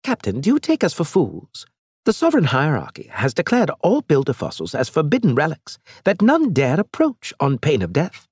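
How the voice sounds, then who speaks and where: A man voicing a cunning, fussy alien male trader, raising his voice high and indignant. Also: wildly swooping pitch, moderate pace, a little mellow, husky, no echo, clean studio sound, heavily acted.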